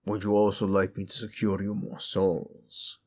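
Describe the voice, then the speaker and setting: Grim undead knight, very low breathy murmur, slow, terse, menacing, reluctantly obedient, fantasy audio drama. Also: male voice, monotone, darkish tone. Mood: cold, obedient. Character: grim, sullen male undead death knight servant. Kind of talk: fantasy roleplay audio acting.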